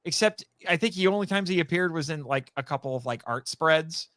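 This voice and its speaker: A man with a slightly high, crisp and clear voice talks casually in a very dry-sounding recording. He is an animated guest who interrupts himself and works out his thoughts aloud as he goes. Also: brisk, dry recording. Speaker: guest, audio drama writer and voice actor. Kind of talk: casual fan chat.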